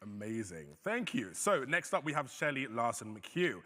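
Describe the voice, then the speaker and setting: A man's voice: medium pitch, very animated, moderate pace, sharp tone. A man hosting a conference who reads out an upbeat introduction of the next speaker. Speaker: event host. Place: conference hall. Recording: long hall reverb.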